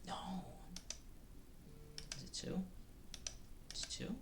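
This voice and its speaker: A man streaming an online course, casually thinking aloud and second-guessing his quiz answers. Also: extremely slow. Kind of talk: casual live-stream commentary. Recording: roomy with faint background noise.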